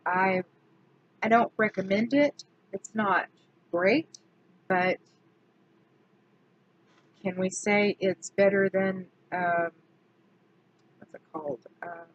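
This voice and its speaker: Slow-talking woman, slightly hoarse. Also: mid-range voice, poor audio. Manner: chatty, self-correcting, slow and deliberate. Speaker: beauty vlogger. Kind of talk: casual product review.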